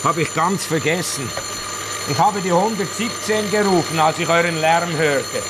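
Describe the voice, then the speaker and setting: An actor playing a courteous, composed writer in a radio crime drama, creaky, terse and polite. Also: male voice, fairly high pitch, normal speed, heavy background noise, close to an everyday voice. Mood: apologetic. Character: courteous, composed male writer, a wine-loving host.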